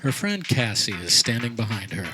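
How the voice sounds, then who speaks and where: A man's voice: medium pitch, very animated, normal speed. A man narrating a scripted comic radio play before a live audience. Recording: music behind, live backyard show.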